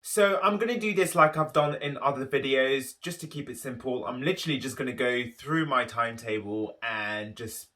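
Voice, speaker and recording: Bright-voiced chatty young man. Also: medium pitch, normal speed, few pauses, slight background noise. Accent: London English. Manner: chatty, enthusiastic, talks in long run-on explanations. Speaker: YouTube host. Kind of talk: casual chat to camera.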